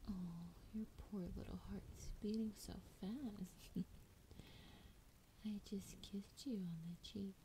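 A woman playing a lonely, ancient female dragon, tender and teasing, in a breathy, intimate whispered ASMR roleplay. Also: medium pitch, fairly even intonation. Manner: slow, coaxing, affectionate. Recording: clear background noise, ambience.

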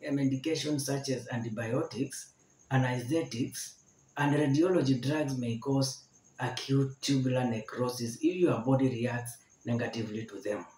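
Man, forties, health lesson, very slow delivery, echoey room. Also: mid-range voice, darkish tone. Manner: slow, repeats each point to explain it. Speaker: medical educator. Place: indoor room with barred window.

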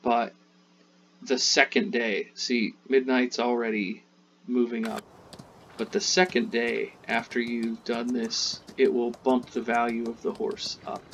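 A man hosting a casual gameplay tutorial, chatting in a relaxed way as he plays, with game sounds faintly behind. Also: mid-range voice, a little slow, a little hiss or hum. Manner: relaxed, chatty, talks while playing. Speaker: gaming channel host.

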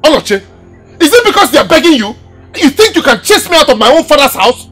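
High-pitched hot-headed young Nigerian man. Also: sing-song, fast talker, soft background music, full character voice. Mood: defiant. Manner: loud, belligerent. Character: hot-headed, defiant grown son. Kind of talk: Nollywood film drama acting.

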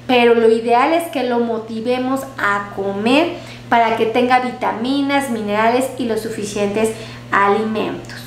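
High-voiced woman doctor, echoey room. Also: a little slow. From Mexico. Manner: warm, repetitive, uses diminutives. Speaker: doctor giving health advice. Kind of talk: casual health talk to viewers. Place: small clinic room. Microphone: headset mic. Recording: echoey room with faint hum.

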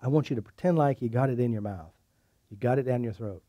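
A middle-aged man leads a live seminar, joking and giving direct instructions in a mellow voice. He repeats words often, and the sound is dry and close. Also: mid-range voice, fast talker, dry recording. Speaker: seminar leader. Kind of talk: coaching a volunteer in front of a group.

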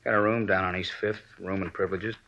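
Surly, fast-talking salesman suspect. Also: sits low, flat delivery, sharp tone. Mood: sullen. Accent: American. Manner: sullen, slangy, defiant. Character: surly, evasive male salesman under police questioning. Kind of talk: 1950s radio drama acting. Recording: dull vintage radio recording.

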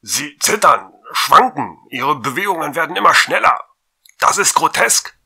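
An actor playing a nervous reporter calling in a strange scene in a radio drama. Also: male voice, fairly high pitch, big pitch swings, normal speed, gravelly, bright, forceful tone, husky, exaggerated voice. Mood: alarmed. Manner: tense, alarmed. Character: nervous male reporter on a rooftop. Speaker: field reporter.

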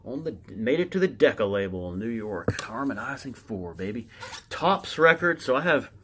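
A man giving casual, unscripted show-and-tell commentary as a collector, halting and muttering to himself as he reads aloud. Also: mid-range voice, sing-song, fairly bright tone, a little hiss or hum. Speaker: record collector showing his records.

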